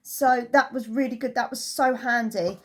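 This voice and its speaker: Chatty woman with a higher-pitched voice. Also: normal speed. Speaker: travel vlogger giving a holiday review. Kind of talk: casual chat review.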